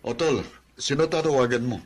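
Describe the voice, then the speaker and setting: Casting: easygoing male office pal, played by an actor, teasing, mid-pitched, light music underneath. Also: moderate pace, lightly acted. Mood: friendly, teasing. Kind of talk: radio drama acting.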